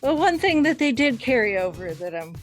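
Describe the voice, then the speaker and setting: Lively American woman podcast co-host. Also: a little high, fairly quick, faint music behind. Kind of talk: casual chat.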